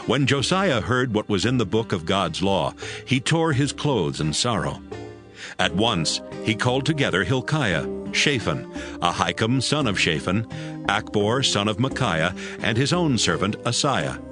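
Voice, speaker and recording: A man with a fairly low voice narrates scripture in a measured storytelling style. His intonation is lively, and music plays clearly behind him. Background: prominent music.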